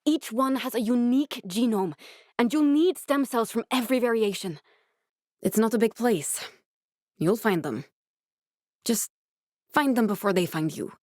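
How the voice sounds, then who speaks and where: A woman voicing an earnest, guarded young female scientist survivor in a video game, speaking in a measured, serious way. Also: a little high, a little mellow, no room sound, studio quality, lightly acted. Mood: earnest, explanatory. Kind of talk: dramatic video game dialogue.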